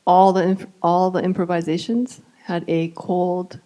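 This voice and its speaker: A woman's voice: lowish voice, little pitch movement, unhurried, dark tone, poor audio. A woman from Japan giving casual answers on a panel, searching for her words in a hesitant way. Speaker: composer, panelist. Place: live event venue with audience.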